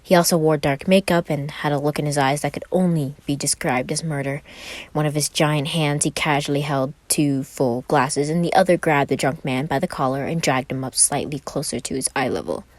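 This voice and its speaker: A woman's voice: low-pitched, brisk, no room sound. A woman who hosts and reads fan fiction, moving between casual chat and story narration. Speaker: podfic reader and channel host.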